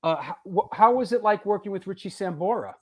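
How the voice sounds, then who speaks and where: Upbeat host with a Manhattan accent. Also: male voice, high-pitched, lively intonation, fast. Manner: upbeat, reads out viewer comments. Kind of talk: live-stream talk show hosting.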